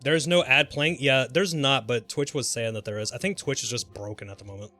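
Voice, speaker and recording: A man live-streaming a game with casual, exclamatory commentary, reacting out loud and swearing freely. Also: medium pitch, fairly quick, soft background music.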